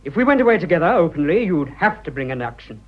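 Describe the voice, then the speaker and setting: Brisk, cool English lover. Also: male voice, a little high, big pitch swings, dull audio. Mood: defiant. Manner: restrained, courteous, matter-of-fact. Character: cool, polite young English lover, frank, unapologetic. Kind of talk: British radio drama acting.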